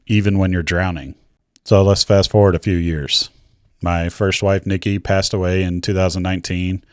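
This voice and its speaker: A man's voice: sits low, no echo, clean studio sound. A middle-aged American man narrating his own personal story to viewers in a candid, reflective way. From Georgia, United States. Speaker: video creator telling his own story.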